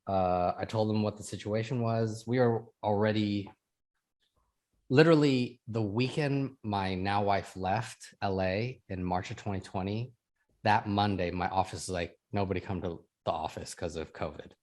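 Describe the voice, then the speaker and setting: A man's voice: on the low side, normal speed. A man in his thirties from Sacramento, a podcast guest telling his story in casual chat, laughing often. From Sacramento, California. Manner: chatty, laughs often, quotes others in lively bursts.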